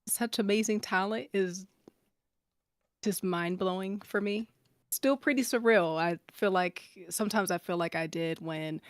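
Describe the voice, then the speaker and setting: Low-pitched, halting woman, close and dry. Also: no room sound. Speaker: voice actor and musician on an audio drama. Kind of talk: casual heartfelt thanks in an online chat.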